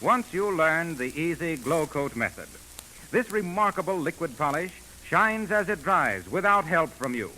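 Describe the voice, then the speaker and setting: Bright, animated 1940s radio announcer, American man. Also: fairly high pitch, measured pace, a little hiss or hum, rough recording. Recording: vintage 1940s radio broadcast.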